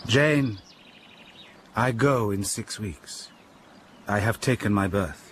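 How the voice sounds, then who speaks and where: A man playing a stern, cold young clergyman in a radio drama, speaking in a formal, measured, reserved way. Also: fairly low pitch, a little hiss or hum.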